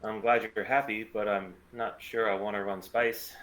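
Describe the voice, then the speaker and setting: Man playing a low-voiced, guarded smuggler pilot. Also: flat delivery, moderate pace, a bit bright, lightly acted, poor audio. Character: guarded, terse male smuggler pilot, former Imperial. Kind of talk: script table read of sci-fi fan drama.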